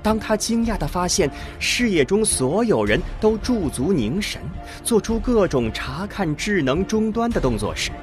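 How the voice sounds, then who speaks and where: A man narrating an audio drama from a script in a deliberate, dramatic storytelling manner. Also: high voice, sing-song. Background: music.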